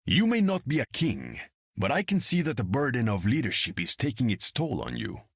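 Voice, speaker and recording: A solemn, stately video game ruler. His gravelly, husky voice rises and falls dramatically as he gives noble counsel. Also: medium pitch, huge pitch swings, moderate pace, no echo, studio quality, heavily acted. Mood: solemn, respectful. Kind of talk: video game character voice lines.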